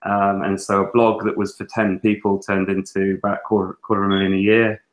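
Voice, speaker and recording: A man's voice: low voice, monotone, fairly quick. A man in his thirties from England with an English accent, a guest talking casually in a webinar interview, full of fillers and restarts, on an earphone mic in a small room at home. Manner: chatty, lots of fillers and restarts. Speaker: guest teacher and author. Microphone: earphone headset on a video call.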